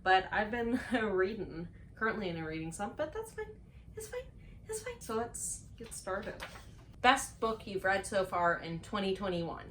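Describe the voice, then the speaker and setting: A woman talks casually, as if to friends on her book vlog, at a slow, unhurried pace. Her pitch sits in the middle for a woman. She is playful and pokes fun at herself, leaning on words and drawing them out for dramatic effect. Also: big pitch swings, a bit bright, slight background noise. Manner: playful, giggly and self-mocking. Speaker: book reviewer on a video channel. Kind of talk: casual chatty vlog to camera.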